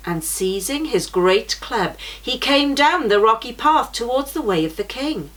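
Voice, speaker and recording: A woman's voice: fairly high pitch, expressive, measured pace, rough recording. A young woman reading a children's storybook aloud for a church story time, shifting her voice for the characters. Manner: gentle, shifts voice for characters in quotes.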